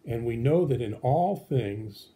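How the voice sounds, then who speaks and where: A man's voice: medium pitch, expressive, slow pace, mellow. A man speaking as a pastor and puppet show host, explaining things simply and patiently to children.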